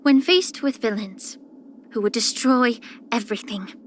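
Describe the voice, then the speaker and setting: A woman voicing a poised, soft-spoken, subtly sly young female healer in a video game, with measured, gentle, composed delivery. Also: on the high side, a little hiss or hum, close to an everyday voice. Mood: wistful, restrained. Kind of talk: scripted character voice lines.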